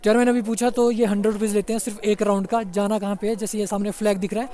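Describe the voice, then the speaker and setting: Brief: young man vlogging outdoors, high voice but mellow tone, easygoing and chatty. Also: level tone, racing. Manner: chatty, says "yaar" a lot, mixes in English. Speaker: travel vlogger. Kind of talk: casual walking tour commentary. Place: outdoors on a busy hill-town street.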